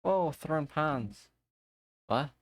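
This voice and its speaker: A man's voice: fairly high pitch, lively intonation, takes it slowly, dry recording, clean studio sound. A man streaming a game live, chatting casually with viewers and talking to himself as he plays. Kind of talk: casual live-stream chat while gaming.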